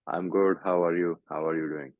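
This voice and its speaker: A young Pakistani man, a fighter, answering interview questions over a video call in halting English full of "like" and "you know". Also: low voice, steady tone, no echo. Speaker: guest fighter. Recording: tinny call audio, dry.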